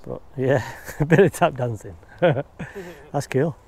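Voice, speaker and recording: A man's voice: medium pitch, slow, a little mellow, breathy edge. A man chatting casually as he magnet fishes from a riverbank, easygoing and often laughing. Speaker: magnet fishing vlogger. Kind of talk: casual commentary while magnet fishing. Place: riverbank outdoors. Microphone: body-mounted action camera.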